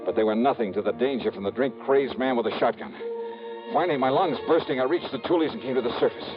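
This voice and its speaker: A man's voice: mid-range voice, fast talker, lightly acted. An American actor playing a tough, wry investigator narrating his case in old radio noir style. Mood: tense narration. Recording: muffled vintage radio, music bed.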